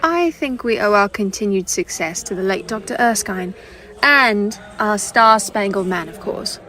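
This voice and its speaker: An actress playing a confident, composed military intelligence agent in an audio drama. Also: female voice, mid-range voice, lively intonation, a little slow, bright, forceful tone.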